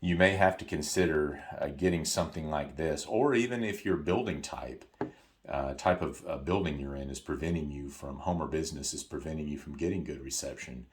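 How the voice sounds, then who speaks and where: A man's voice: extremely low pitch, brisk. A man giving a casual, hands-on product tutorial, explaining things informally and repeating key points for emphasis.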